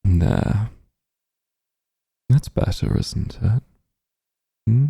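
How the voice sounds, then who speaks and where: A man playing a possessive, doting immortal demon lord in an intimate ASMR roleplay, teasing and smug, speaking softly in a gravelly, breathy voice. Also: lowish voice, lively intonation, no echo, studio quality, exaggerated voice. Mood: soothing, tender. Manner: slow, soft, teasing coaxing.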